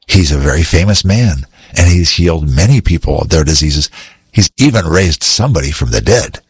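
A man voicing a kind, gentle young slave girl in a Bible story, speaking earnestly. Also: very deep voice, lively intonation, normal speed, slightly rough, full character voice. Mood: concerned.

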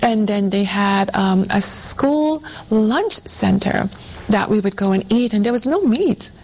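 Female guest, conversational testimony style, medium pitch with plenty of creak. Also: animated, measured pace, darkish tone, low-quality recording. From Trinidad and Tobago. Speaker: guest, church women's ministry coordinator. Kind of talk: conversational personal testimony in an interview.

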